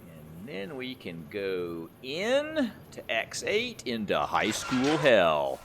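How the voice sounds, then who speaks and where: A man's voice: on the low side, huge pitch swings, slow pace, noisy background. A man giving casual, rambling gameplay commentary, thinking aloud in a conversational way as he plays. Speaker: gamer, let's play host.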